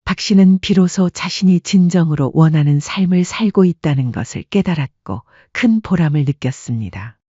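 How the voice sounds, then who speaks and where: Brief: husky, mellow woman storyteller, calm delivery, dull lo-fi recording. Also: fairly low pitch, moderate pace, few pauses, no echo. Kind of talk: scripted audiobook narration.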